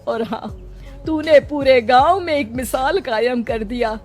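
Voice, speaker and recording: A woman voicing an anxious, affectionate elderly village mother-in-law in a Hindi animated moral story, with a plaintive, fond manner. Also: sits high, unhurried, soft background music. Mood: proud.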